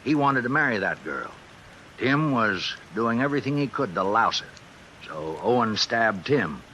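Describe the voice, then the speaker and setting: A man's voice: mid-range voice, sing-song, unhurried, bright, forceful tone, highs cut off. An actor playing a weary, fatherly sheriff with an American accent, needling in a folksy, dry way in an old radio drama. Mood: dry reproach. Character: weary, wry small-town sheriff, fatherly but needling. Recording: hissy old radio recording with rain.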